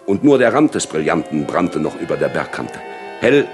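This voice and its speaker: A man's voice: extremely low pitch, sing-song, moderate pace, over music. A man reading literary prose aloud as dramatic narration in a radio feature.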